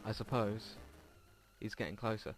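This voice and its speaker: A man's voice: on the low side, level tone, moderate pace. Man reading a game aloud over music. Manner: informal, stumbles over words while reading. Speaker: let's player reading a visual novel aloud. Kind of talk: casual read-aloud game narration with asides. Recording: music behind the voice.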